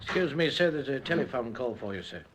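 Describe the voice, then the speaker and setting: Man acting as rapid-fire village constable. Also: mid-range voice, lively intonation, natural voice. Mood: deferential. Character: respectful, eager male British village constable. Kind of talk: old-time radio drama acting. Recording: very noisy, clicking old radio recording.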